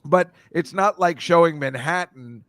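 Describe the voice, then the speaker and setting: A man's voice: a little high, a little slow, a bit bright, dry recording, clean studio sound. Rambling man in casual podcast chat. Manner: rambling, slips into movie impressions. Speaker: comedian and podcaster, film teacher.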